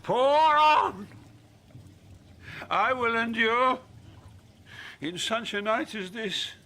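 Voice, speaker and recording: Old mad king in a shakespeare radio play, slow and grand, bright gravelly voice, heartbroken and furious. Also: very high-pitched, expressive, exaggerated voice. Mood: anguished rage. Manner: theatrical, declamatory, swinging from muttering to roaring. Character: raging old king, grief-stricken and going mad in a storm. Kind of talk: Shakespearean audio drama acting.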